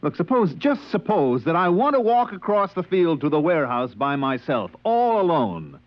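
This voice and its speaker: A man's voice: high-pitched, big pitch swings, moderate pace, no echo. An actor playing an incredulous, outspoken spaceship crewman who tells his story in a 1950s radio drama style. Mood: bewildered. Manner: emphatic, questioning, dramatic. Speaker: actor narrating and playing the lead. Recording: dull old radio recording, faint music.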